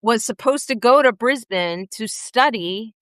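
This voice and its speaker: A woman's voice: a little high, expressive, unhurried, no echo. A woman guest on a casual live podcast chat, easygoing and hedging, laughing often.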